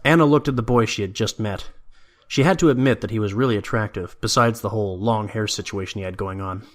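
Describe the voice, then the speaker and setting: A man's voice: lowish voice, quick pace. A man reading scripted story narration as the narrator of an audio drama.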